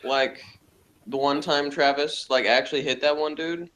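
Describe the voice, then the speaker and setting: A man's voice: on the high side, fairly even intonation, moderate pace, a bit bright. A man giving blunt, dismissive opinions in a casual discussion.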